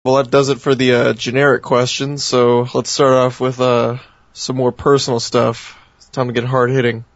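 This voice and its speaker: Flat-voiced male radio co-host. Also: medium pitch, moderate pace, dry recording. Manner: joking, steady delivery. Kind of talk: casual interview questions. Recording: lo-fi online radio sound.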